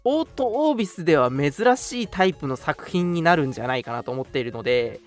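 A man's voice: a little high, sing-song, brisk, soft background music. A man hosting a radio show, giving announcements in a polite, chatty way. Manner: polite, chatty, frequent interjected particles. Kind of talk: casual scripted-segment radio announcements.

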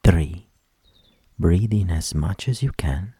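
Very deep, breathy male meditation guide. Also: no echo. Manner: soft, soothing, breathy delivery trailing into whispers; slow, gentle instructions with long pauses.

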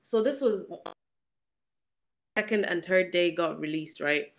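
A woman's voice: on the low side, slow. A woman joins a podcast as a guest and chats casually. She argues in a playful way and often repeats her questions as she presses her point. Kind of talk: casual chat.

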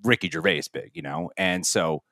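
A man's voice: low-pitched, normal speed, sharp tone, dry recording, studio quality. A man co-hosting a podcast, talking in a loose, rambling way with frequent laughs and restarts. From United States. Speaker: podcast co-host. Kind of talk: casual chat.

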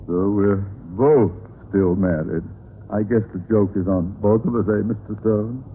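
Actor playing a blustering pompous middle-aged husband, tinny radio. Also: male voice, on the low side, unhurried, natural voice. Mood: sheepish. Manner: pompous, grandiloquent. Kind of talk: 1950s radio sitcom acting. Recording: tinny, noisy old radio recording.

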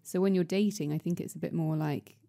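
Female co-host, thirties, soft mellow tone, casual conversational delivery. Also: on the low side, fairly even intonation, a little fast, creaky. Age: 30s. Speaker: podcast co-host. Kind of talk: casual chat.

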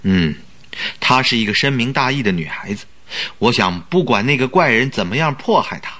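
An earnest, slightly anxious sidekick to a detective in a radio drama. His mid-pitched voice is bright and clear-cut, with very lively, questioning intonation. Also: normal speed.

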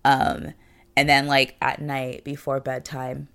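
A woman with a low, gravelly voice co-hosts a casual podcast. She sounds tired and congested, a little stuffy and nasal. She chats in a playful, joking way. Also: level tone, slow, a bit bright. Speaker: podcast co-host. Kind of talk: casual chat.

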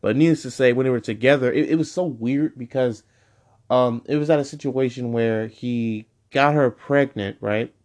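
A young man in his twenties talks through his solo podcast, wandering from point to point. He keeps correcting himself and filling pauses with "um" and "like". His voice sits at an ordinary middle pitch. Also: darkish tone. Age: 20s. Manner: rambling, full of fillers and self-corrections. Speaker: podcast host. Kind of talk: casual solo podcast monologue.

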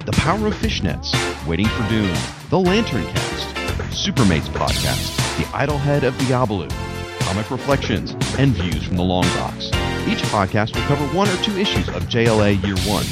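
A man's voice: fairly low pitch, big pitch swings, normal speed. A man reading scripted promo narration as an announcer. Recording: loud music bed under the voice. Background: loud music with guitar.

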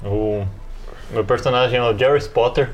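Plain-spoken man, medium pitch, faint noise. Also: normal speed. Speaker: RPG podcast participant and player. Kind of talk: casual chat.

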